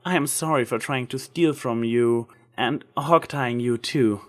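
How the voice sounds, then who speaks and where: A man's voice: mid-range voice, unhurried, fairly bright tone, close to an everyday voice. A man voicing a timid, insecure female unicorn with a hesitant, stammering way of talking, in a story reading. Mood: awkward, apologetic.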